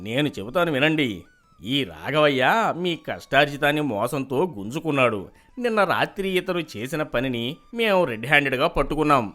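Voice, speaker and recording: A stern, upright village headman in a cartoon moral tale, played by a voice actor. He speaks firmly and scolds and lectures, with lively rises and falls in his pitch. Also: fairly quick, close to an everyday voice. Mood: explaining. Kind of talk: animated cartoon voice acting.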